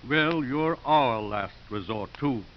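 An actor playing a gentle, droll elderly priest with a genteel manner in a 1950s radio drama. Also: male voice, medium pitch, animated, slow. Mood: gracious. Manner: genteel, dryly humorous. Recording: muffled, hissy 1950s radio recording.

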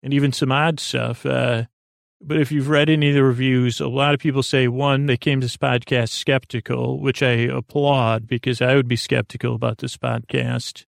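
A man hosting a bedtime podcast in a gentle, reassuring, informal way, meandering and halting with many false starts, in a creaky, gravelly voice. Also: medium pitch, level tone, no room sound, clean studio sound. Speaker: podcast host. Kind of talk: rambling, soothing bedtime podcast monologue.

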